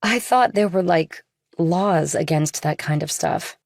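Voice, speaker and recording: A woman's voice: lowish voice, unhurried, gravelly, dry recording, clean studio sound. A woman voicing a bold, inquisitive young civilian, talking bluntly and casually in audiobook dialogue.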